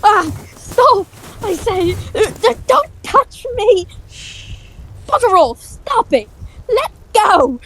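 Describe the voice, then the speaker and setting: A woman playing a bitter, grief-stricken young nobleman with a halting, intense delivery in an audio drama. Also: extremely high pitch, sing-song, a bit bright, a little hiss or hum, exaggerated voice. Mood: distraught, furious.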